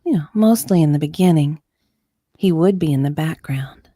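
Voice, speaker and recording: Low-voiced woman, conversational read-aloud. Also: normal speed, dark tone, no room sound, studio quality. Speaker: co-host reading a witness's part from a deposition. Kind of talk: dramatic reading of testimony with casual chat.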